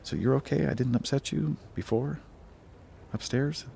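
A man's voice: mid-range voice, moderate pace, gravelly, mellow, slight background noise. An actor playing a harried male political staffer in a satirical audio drama, stammering, impatient and profane. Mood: uneasy, venting. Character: frazzled, foul-mouthed male political staffer.

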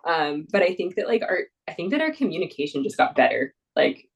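A woman's voice: lowish voice, big pitch swings, fairly quick, husky, distant mic. A young woman, a mountain guide, talking casually in an interview, laughing often and full of fillers and restarts.

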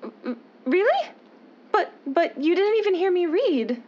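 A woman's voice: extremely high pitch, sing-song, measured pace, heavily acted. An actress playing a woman in a melodramatic old-time radio comedy, with a theatrical delivery. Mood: timid, eager. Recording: dull lo-fi old radio sound.

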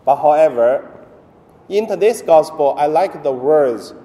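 A man from mainland China preaches in English with a Chinese accent, his phrasing halting and broken up by fillers. His voice is mellow and unhurried, and it rings with a long church reverb. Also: a little high, animated. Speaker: priest. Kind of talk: Sunday homily.

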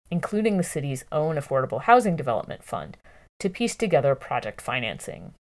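A woman narrating a long-form news article from a script in a measured, clearly phrased way. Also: deep voice, a little mellow.

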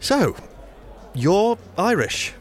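A man's voice: high voice, very animated, very slow, soft background music, exaggerated voice. An actor playing an awkward, tactless British man on a first date in an audio drama, joking clumsily and bluntly. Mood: clumsily chatty. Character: awkward, tactless male first-date novice, foot in mouth.

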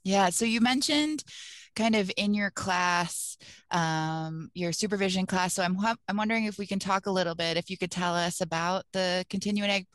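A woman's voice: medium pitch, moderate pace, fairly bright tone, no echo. A woman conducting an interview in a conversational way.

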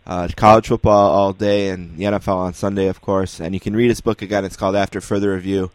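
A man's voice: sits low, flat delivery, fast talker, dry recording. A man hosting an interview, wrapping up with plugs and thanks in conversational podcast style.

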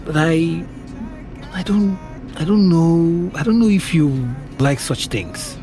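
A reserved young office worker in an audio drama, a man with a Nigerian accent. His delivery is slow and halting, yet the melody of his speech moves a good deal. Faint music sits behind him. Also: a little high, lively intonation, dark tone. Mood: shy, friendly. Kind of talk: naturalistic audio drama acting.

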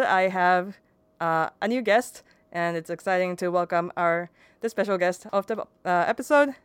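A woman's voice: mid-range voice, clear, resonant tone, no echo. A young woman hosting a podcast interview, chatty, using casual fillers and short agreements. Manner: chatty, uses "like" and short backchannels. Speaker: podcast host.

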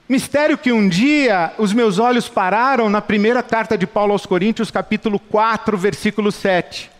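Brazilian pastor, middle-aged, fervent and repetitive, high-pitched, reverberant hall. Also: male voice, moderate pace. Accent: Brazilian Portuguese. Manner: passionate, rhetorical repetition. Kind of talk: sermon.

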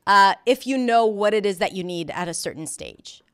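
A woman's voice: medium pitch, expressive, moderate pace, clear, resonant tone. A woman whose first language is Hebrew, a pronunciation teacher explaining her approach in an earnest, conversational interview. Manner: earnest, explanatory, with frequent restarts.

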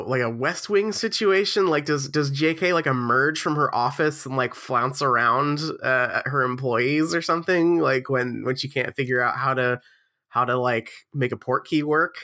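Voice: mid-range voice, normal speed, sharp tone. A podcast host chatting casually while still congested from the flu, rambling with lots of fillers and restarts. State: stuffy, congested from a cold.

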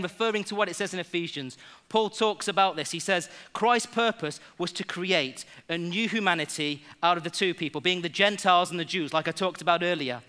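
A young man from England, preaching a sermon to his church in a lively way and joking with the congregation. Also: high-pitched.

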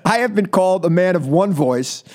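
A man's voice: high voice, expressive, moderate pace. A man hosting a comedy talk show in casual, joking chat.

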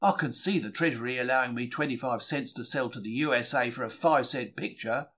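A man giving an expressive, wry first-person reading of a diary. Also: medium pitch, a little fast. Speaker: diary reader.

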